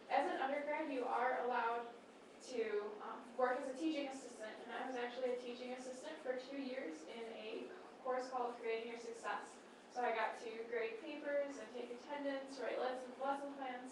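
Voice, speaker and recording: Brief: high-pitched young woman from Michigan, student host, friendly, echoey and noisy room. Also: distant mic. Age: young adult. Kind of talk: casual chat. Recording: echoey room, voice from off-mic, room noise.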